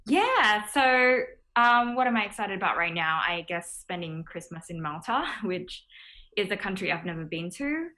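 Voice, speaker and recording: A woman's voice: mid-range voice, expressive, moderate pace, bright, forceful tone. A young woman from Thailand, a business founder, answering interview questions casually with many fillers over a video call.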